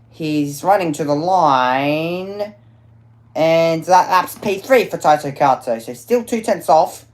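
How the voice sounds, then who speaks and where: A woman with a notably low, deep voice calls a motor race qualifying session live. Her delivery is chatty and loose, filled with ums, and she corrects herself on the fly as she goes. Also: darkish tone. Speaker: motorsport commentator.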